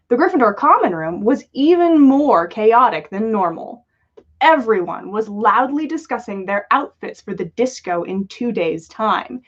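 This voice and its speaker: A woman reading a story aloud as a livestream host, with lo-fi sound. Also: on the high side. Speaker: podcast host reading fan fiction aloud. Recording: dull lo-fi livestream audio.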